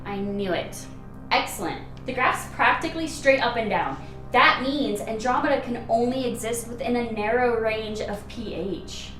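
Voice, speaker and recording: Woman acting high-voiced, driven doctor, roomy sound. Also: normal speed, a bit bright, close to an everyday voice. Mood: excited, certain. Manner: insistent, curt, commanding. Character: driven, impatient woman doctor chasing a medical hunch. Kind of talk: amateur radio play acting. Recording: roomy, distant mic, music underneath.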